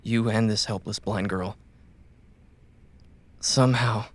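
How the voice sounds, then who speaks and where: An actor playing a wounded, loyal cyberpunk runner in an audio drama, tenderly and haltingly reassuring a companion. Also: male voice, lowish voice, steady tone. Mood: strained, tender. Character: wounded, loyal male caravan runner gently soothing his companion.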